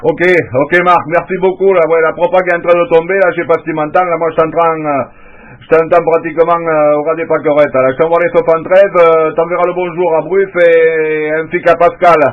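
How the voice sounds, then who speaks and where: A man's voice: a little high, level tone, fairly quick. Man on narrow-band radio. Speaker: amateur CB radio operator. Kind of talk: casual radio contact chat. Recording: muffled narrow-band radio transmission audio.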